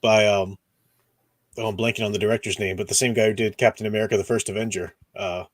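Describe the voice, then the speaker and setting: A man's voice: on the low side, steady tone, fast, dry recording. A man hosting a casual discussion stream, rambling, restarting sentences and groping for names as he talks. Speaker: podcast host.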